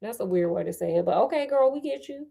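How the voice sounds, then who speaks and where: A woman's voice: fairly high pitch, animated, fast talker, creaky, dark tone. An American woman reading a storybook aloud as host, with sassy, conversational asides to her listeners. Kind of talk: storybook read-aloud with casual running commentary.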